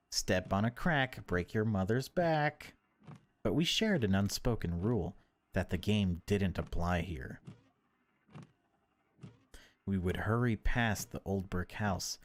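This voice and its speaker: A man narrating a horror visual novel in a measured, unhurried way, with casual asides as a gaming video host. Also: sits low, expressive, slight background noise.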